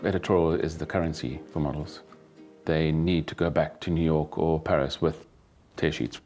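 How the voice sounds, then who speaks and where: A man who runs a model agency, speaking in a brisk, businesslike way in a documentary interview. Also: rumbling low, steady tone, a little mellow, soft background music.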